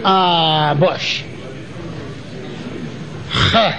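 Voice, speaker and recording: An actor with a Kabuli Afghan accent playing a bossy, crafty fortune teller in a stage comedy, moving between incantatory pronouncements and curt scolding. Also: male voice, fairly high pitch, creaky, crowd noise. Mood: bossy, impatient. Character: bossy, crafty male fortune teller, impatient and self-important. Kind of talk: theatrical stage comedy dialogue. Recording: old stage play recording.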